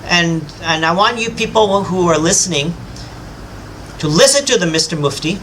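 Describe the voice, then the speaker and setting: A man's voice: high-pitched, animated, normal speed, fairly bright tone, slight background noise, low-quality recording. A man giving an emphatic, lecturing rebuttal, speaking straight to his opponent and boasting about his own knowledge. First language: English. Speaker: self-styled religious teacher rebutting a scholar. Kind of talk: combative rebuttal monologue.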